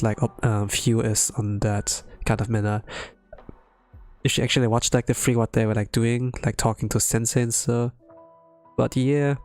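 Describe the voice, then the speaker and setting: Halting man streaming over music. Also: mid-range voice, darkish tone. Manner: halting, thinking aloud between read lines. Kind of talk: blind game story readalong with casual commentary.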